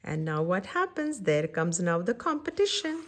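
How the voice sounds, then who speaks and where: A woman's voice: fairly low pitch, sing-song, moderate pace. A woman reading a picture book aloud to young children, adding simple chatty explanations and checking in with her listeners. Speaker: children's story-time reader and host.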